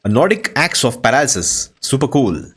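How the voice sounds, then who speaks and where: A man's voice: medium pitch, sing-song, a little fast, a bit bright. A man hosting a gaming video with casual playthrough commentary, reacting aloud as he plays.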